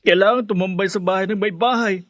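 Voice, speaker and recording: An actor playing a poor, hardworking, earnest teenage schoolboy in a radio drama, speaking respectfully and plaintively. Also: male voice, sits high, steady tone, quick pace, a bit bright, highs cut off. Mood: protesting. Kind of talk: Filipino radio drama acting.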